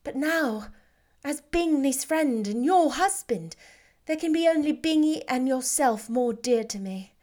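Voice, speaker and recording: A woman voicing a gentle, sweet-natured young woman who speaks softly and earnestly. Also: high-pitched, animated, unhurried, pitched above the performer's own voice. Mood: affectionate.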